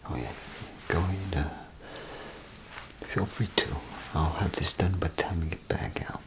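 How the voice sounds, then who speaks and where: A man speaking in a hushed, half-whispered, casual way in a soft-spoken ASMR role play as an attendant. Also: sits low, fairly even intonation, unhurried, low-quality recording. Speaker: ASMR performer playing a bathroom attendant.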